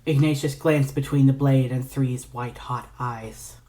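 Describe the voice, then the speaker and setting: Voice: mid-range voice, steady tone, a little slow, mellow. A narrator reading scripted fiction aloud as an audio story.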